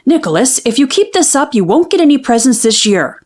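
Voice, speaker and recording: Weary storybook father, read by a woman, stern and complaining, with lively pitch movement. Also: a little fast. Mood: grumbling. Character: grumbling, weary father scolding his son.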